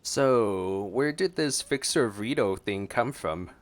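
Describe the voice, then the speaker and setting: A man's voice: on the low side, animated, slow. A man doing casual reaction commentary as a gaming streamer.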